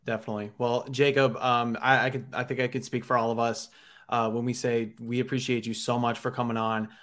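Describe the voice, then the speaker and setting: A man's voice: medium pitch, little pitch movement, a little fast, a bit bright. A man hosting a casual sports podcast, friendly and easygoing. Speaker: podcast host.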